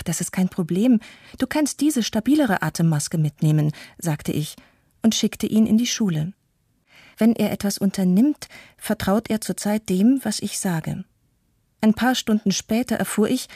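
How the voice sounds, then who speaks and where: A worried, self-doubting mother played by an actress in a radio play. She sounds subdued and introspective, with a husky, mellow voice in a dry recording. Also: medium pitch, no room sound. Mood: caring. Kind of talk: scripted radio-play monologue, read testimony.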